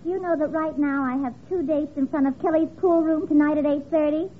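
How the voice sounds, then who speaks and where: Woman playing a sassy, high-voiced cashier. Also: fairly even intonation, fairly quick, natural voice. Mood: indignant, sassy. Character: sassy, man-chasing wisecracking young cashier woman. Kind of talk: 1940s radio comedy acting. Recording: tinny, very noisy old radio with audience.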